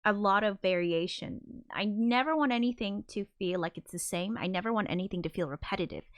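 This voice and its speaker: Woman, high voice, rambling livestream chat, frequent restarts, giggly, desk mic. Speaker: composer streaming live. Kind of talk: casual livestream chat reading viewer comments.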